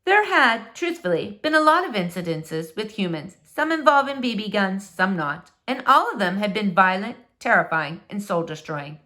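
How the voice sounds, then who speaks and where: A woman reading a children's novel aloud as expressive storybook narration. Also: mid-range voice, moderate pace, few pauses.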